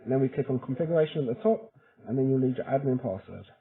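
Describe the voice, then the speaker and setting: A man's voice: mid-range voice, animated, fast talker. A man presenting a how-to tutorial in an informal, chatty way, often checking in with the listener as he explains each step. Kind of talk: casual how-to walkthrough. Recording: thin, phone-like narrow-band audio.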